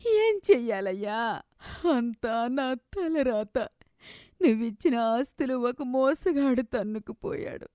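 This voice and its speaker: A woman voicing a sorrowful, loving old grandmother in a moral tale, lamenting tearfully in drawn-out phrases. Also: on the high side, animated, mellow, no echo, clean studio sound, pitched above the performer's own voice.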